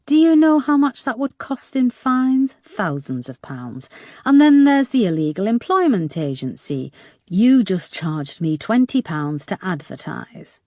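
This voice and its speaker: A woman's voice: mid-range voice, darkish tone, no echo, clean studio sound, pitched above the performer's own voice, lightly acted. A woman reading aloud, voicing a persistent woman police detective who questions firmly.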